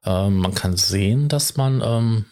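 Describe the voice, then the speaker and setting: A man talking casually on his own in a halting, colloquial, self-deprecating ramble, often interrupting himself. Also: on the low side, darkish tone.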